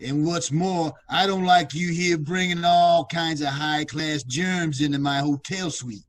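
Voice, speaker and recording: A high-voiced little crook from an old-time radio mystery, acted by a man. He is prissy about germs and talks in indignant tough-guy slang, with melodramatic cowardice under the bluster. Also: measured pace, close to an everyday voice. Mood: huffy, defiant. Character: fussy germ-phobic small-time gangster, cowardly when threatened.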